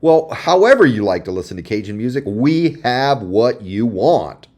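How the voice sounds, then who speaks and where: A man's voice: medium pitch, sing-song, moderate pace, darkish tone. A man presenting a radio show in a folksy, chatty showman's manner, addressing listeners directly with dramatic repeats.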